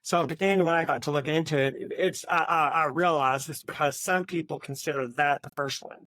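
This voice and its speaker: A man's voice: a little high, normal speed, fairly bright tone, no room sound. Male co-host for casual book talk, informal and agreeable, recorded dry. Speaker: book podcast co-host and author.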